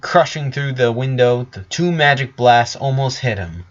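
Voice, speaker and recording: A man's voice: medium pitch, expressive, normal speed, highs cut off. A man narrating scripted fantasy fiction as a storyteller.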